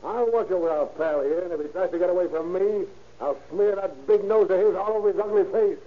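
An actor playing a tough, threatening, slangy citizen in a 1930s radio crime drama. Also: male voice, high-pitched, fairly quick, close to an everyday voice. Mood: angry, threatening. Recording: muffled old radio recording, background noise.

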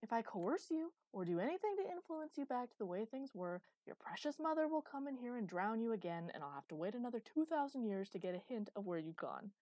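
A woman's voice: mid-range voice, lively intonation, moderate pace, warm tone, no room sound, natural voice. Woman acting an exasperated, imperious immortal queen. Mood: furious, exasperated. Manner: bitter, sardonic, hissing outbursts.

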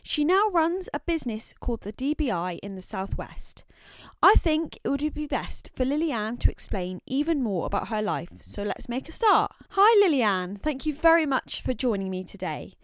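Woman host, scripted intro, welcoming, higher voice, lively pitch. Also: moderate pace, dry recording, clean studio sound. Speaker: podcast host.

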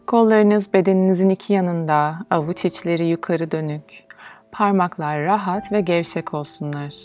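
A woman guiding a meditation in a soothing, measured, instructional way, leaving pauses between phrases. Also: fairly low pitch, darkish tone. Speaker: meditation guide. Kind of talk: guided yoga nidra meditation, instructional. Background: music.

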